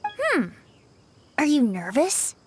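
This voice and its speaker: A woman's voice: sits high, wildly swooping pitch, slow, noisy background, full character voice. A woman voicing a playful, breezy young male bard with a lilting, theatrical delivery in a video game. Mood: teasing. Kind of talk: video game cutscene acting.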